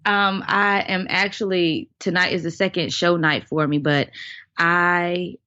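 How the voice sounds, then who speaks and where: A woman in her thirties, a dance guest on a podcast, telling her stories in an earnest, animated way. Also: on the low side, measured pace, no echo, highs cut off. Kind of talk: casual interview storytelling.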